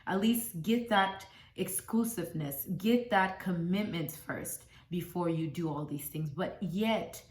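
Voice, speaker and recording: A woman's voice: fairly low pitch, a little slow. A woman born in Congo and raised in America, giving motivational life advice in an emphatic, preachy way, recorded on a phone. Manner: emphatic, preachy, stacks rhetorical questions. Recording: echoey room.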